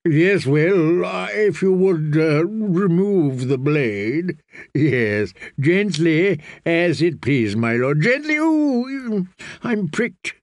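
A man voicing a fussy, simpering eunuch who talks in a breathy, halting way. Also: fairly high pitch, very animated, no room sound, studio quality, pitched above the performer's own voice, exaggerated voice. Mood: flustered. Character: fussy simpering soft-spoken eunuch man, middle-aged.

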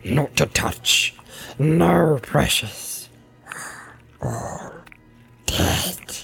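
A wretched storybook creature, creaks and hisses along at a very slow crawl. The pitch lurches about as it mutters in broken, repeated scraps, furtive and creepy. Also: male voice, animated, fairly bright tone, soft background music, exaggerated voice. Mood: furtive, wistful. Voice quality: hissing, creaky whisper. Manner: broken, repetitive muttering, refers to himself in third person. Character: wretched, creepy, cackling male marsh creature guide. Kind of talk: dramatic audiobook character reading.

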